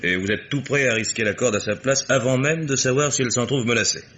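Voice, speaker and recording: An actor playing a blunt, sardonic private detective who argues insistently in a radio mystery play. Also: male voice, mid-range voice, quick pace, a bit bright, natural voice. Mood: grave, resigned.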